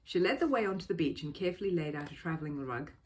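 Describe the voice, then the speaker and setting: A woman reading a children's story aloud as an expressive narrator. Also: sits low, very fast. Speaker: storybook reader.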